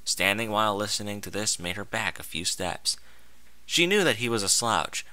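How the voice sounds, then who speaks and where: A man's voice: lowish voice, bright, forceful tone, no echo. A man narrating a scripted story in a theatrical, dramatic manner.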